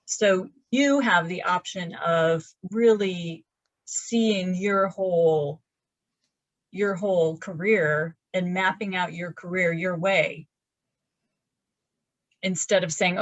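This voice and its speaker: A woman's voice: lowish voice, no room sound. A woman in her fifties, a romance author, chatting casually in a video-call interview from her laundry room, rambling with many fillers and restarts. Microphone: webcam on a video call.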